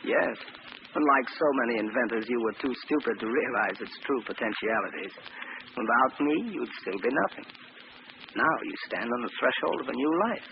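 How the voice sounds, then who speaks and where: An actor playing a cold, condescending villainous scientist in an old-time radio mystery. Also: male voice, mid-range voice, moderate pace, close to an everyday voice. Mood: smug. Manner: smooth-talking, condescending. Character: cold, scheming villainous male scientist, smugly menacing. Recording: muffled, noisy old radio recording.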